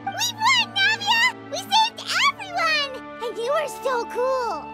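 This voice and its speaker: A tiny fairy companion girl with an extremely high, squeaky little voice that swings up and down. She is excitable and chirpy, like a child, in a game with music behind her. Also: slow pace, exaggerated voice. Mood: excited. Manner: chirpy, refers to self in third person. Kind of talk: video game voice acting.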